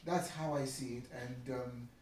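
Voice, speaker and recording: An echoey, slightly noisy recording of a man with a Nigerian accent, set back from the microphone. He chats as a guest, explaining his view and checking that the listener follows. His pitch is average for a man. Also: steady tone, unhurried, darkish tone. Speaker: interview guest. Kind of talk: casual conversation about marriage. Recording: echoey room, distant mic, faint noise.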